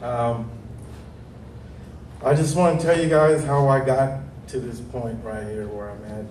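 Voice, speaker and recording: A man in his thirties from Stockton, California with a deep voice, telling his life story on a panel, nervous and hesitant with many pauses. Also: fairly even intonation, a little mellow, roomy sound, distant mic, slight background noise, rough recording. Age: 30s. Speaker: panel speaker. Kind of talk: nervous personal testimony. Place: large hall.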